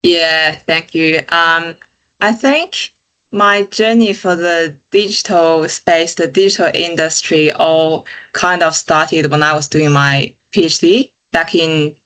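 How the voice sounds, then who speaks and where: A woman's voice: low-pitched, steady tone, takes it slowly, fairly bright tone, clean tone, rough recording. Woman, thoughtful podcast guest. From China. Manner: thoughtful, many fillers like "you know" and "kind of". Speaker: guest, digital engineer. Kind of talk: casual interview chat.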